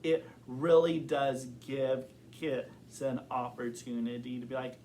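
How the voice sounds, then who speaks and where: A man's voice: mid-range voice, level tone, slow, darkish tone, slight background noise. A young man who stutters, answering interview questions openly and thoughtfully, with many fillers and repeated words. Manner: thoughtful, open. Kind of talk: casual interview.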